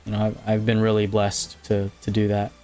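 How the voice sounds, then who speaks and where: A man's voice: fairly low pitch, completely flat delivery, moderate pace, dark tone, soft background music. A man with a slight Hawaiian accent, chatting casually on a game live stream, rambling with fillers and restarts as he answers viewers. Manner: rambling, many fillers and restarts, reading chat aloud.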